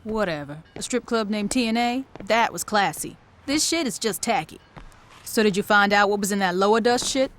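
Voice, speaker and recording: A woman's voice: medium pitch, normal speed, fairly bright tone, big-room sound, slight background noise, close to an everyday voice. A woman voicing a tough, foul-mouthed female gang boss in a video game cutscene, blunt and sarcastic. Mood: disdainful. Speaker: gang leader player character.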